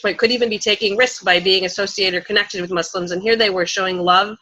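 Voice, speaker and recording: Female panelist, bright forceful tone, medium pitch, conversational and personal, halting emphatic phrasing. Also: fairly even intonation, low-quality recording.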